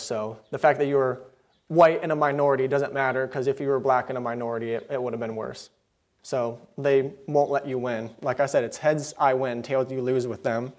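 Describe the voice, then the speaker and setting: A young man delivers a sermon from a church pulpit, his voice sitting a little high for a man. He speaks earnestly into the pulpit microphone, slipping in quick asides with a mildly indignant edge. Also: a little mellow. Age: young adult. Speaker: preacher. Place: church sanctuary, behind a wooden pulpit.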